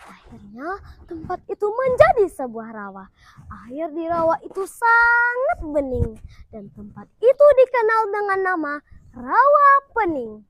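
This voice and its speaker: Girl of primary school age, very high voice, highly animated, theatrical folktale delivery, dry sound. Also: measured pace, no room sound. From Indonesia. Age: child, primary school pupil. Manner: dramatic, declamatory delivery. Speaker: student storyteller.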